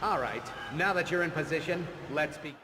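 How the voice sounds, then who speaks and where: A man's voice: a little high, moderate pace, background noise, close to an everyday voice. An actor playing a vain, bitter, theatrical male villain in a video game, with grandiose announcer-like delivery. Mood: showy, taunting.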